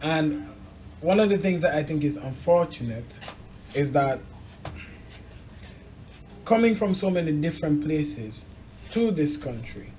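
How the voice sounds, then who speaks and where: A man hosting a conversational discussion, probing and measured, building his points in short phrases. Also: a little high, expressive, darkish tone, rough recording. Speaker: interviewer, host.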